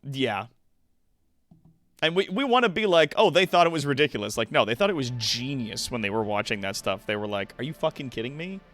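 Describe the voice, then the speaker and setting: A man's voice: mid-range voice, very animated, a little fast, fairly bright tone, no room sound, faint music behind. Man livestreaming casually, dry close sound. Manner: profane, informal. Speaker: livestream host.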